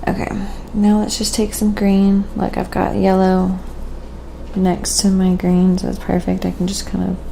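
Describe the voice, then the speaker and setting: A woman with a mellow, softly spoken voice that crackles with vocal fry. She muses aloud in an unhurried, casual way, as if hosting a relaxed ASMR art stream, with faint noise in the background. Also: mid-range voice. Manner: unhurried, musing aloud while working. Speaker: livestream host, painter. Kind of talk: casual ASMR painting livestream chat.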